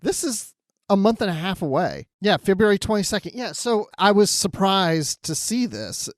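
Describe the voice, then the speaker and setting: A male podcast co-host with a fairly high voice, chatting casually. He tells things in an animated, conversational way, and the sound is dry with little room around it. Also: normal speed, dry recording. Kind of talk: casual chat.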